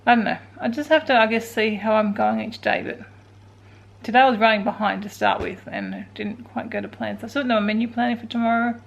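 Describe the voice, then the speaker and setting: An Australian woman in her forties with a croaky voice, talking casually and wearily through her day at home in a vlog. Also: fairly high pitch, very animated, fast. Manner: tired, rambling, self-talking. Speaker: vlogger. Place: indoors at home.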